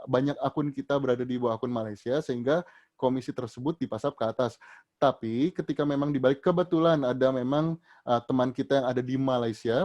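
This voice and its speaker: A man's voice: medium pitch, darkish tone, lo-fi sound. A man leading an online training session, answering audience questions in a casual explaining manner with many fillers and restarts, his voice rising sharply at the start of each answer.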